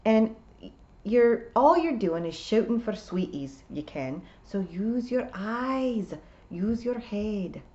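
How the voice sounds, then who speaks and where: A woman's voice: mid-range voice, animated, slow pace, warm tone, pitched above the performer's own voice. A woman voicing a proud, prickly ninety-six-year-old male pixie piper who scolds emphatically in dialect, on a slightly dull recording. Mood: gruffly kind.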